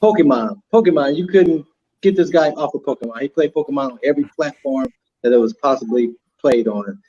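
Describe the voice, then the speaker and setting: Man over fifty, mid pitch, mellow relaxed tone, muffled phone call audio, casual reminiscing. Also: a little fast, breathy edge. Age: 50s or older. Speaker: livestream panelist. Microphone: phone on a video call.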